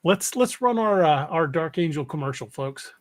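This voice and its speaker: A man hosting a podcast in casual livestream chat, folksy and a bit halting, often repeating words as he thinks. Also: on the high side, animated. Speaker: podcast host.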